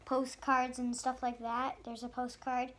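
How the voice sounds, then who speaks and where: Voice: high voice, monotone, unhurried, a bit bright, slight background noise. A child of about seven or eight reading a picture book aloud, stumbling now and then on harder words. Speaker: young reader.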